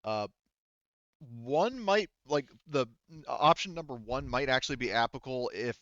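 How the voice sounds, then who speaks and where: A man's voice: medium pitch, lively intonation, moderate pace, fairly bright tone, no room sound, muffled recording. A man casually running a tabletop roleplaying game as game master, thinking aloud in an informal way.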